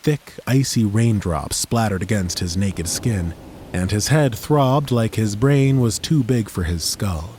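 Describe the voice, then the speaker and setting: A man narrating a fantasy audiobook in a measured, steady storytelling manner on a close studio mic. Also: lowish voice, slight background noise.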